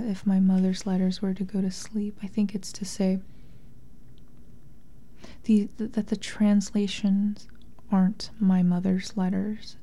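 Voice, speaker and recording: A woman author speaking softly and breathily in a thoughtful, measured, literary way. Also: medium pitch. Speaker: interviewed author and translator. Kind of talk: reflective literary interview talk and reading aloud from a memoir.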